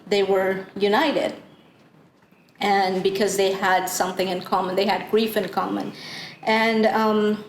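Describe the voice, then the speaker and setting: Slow-spoken woman from Guyana, echoey room. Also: mid-range voice. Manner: halting, thoughtful storytelling. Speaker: church panelist. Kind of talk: reflective Bible discussion. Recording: echoey room with faint noise.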